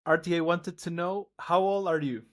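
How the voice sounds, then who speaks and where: A man with a somewhat high voice hosts the interview over a video call. He asks short, direct questions. His delivery stays plain and to the point. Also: fairly even intonation, moderate pace. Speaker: interview host.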